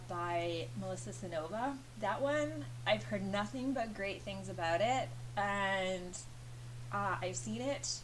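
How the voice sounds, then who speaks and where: A woman's voice: mid-range voice, background noise. A woman chatting casually to camera as a vlogger, with lots of fillers and little laughs.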